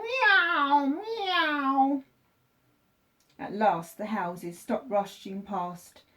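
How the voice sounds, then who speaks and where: A woman teacher reading a children's story aloud, with expressive, deliberate phrasing for young listeners. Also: mid-range voice.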